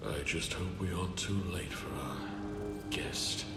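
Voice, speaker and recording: An actor playing a dignified, cautious middle-aged governor in a fantasy game, speaking in a formal, measured, commanding way. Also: male voice, low-pitched. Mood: solemn. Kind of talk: dramatic fantasy game acting. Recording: roomy long reverb, music behind.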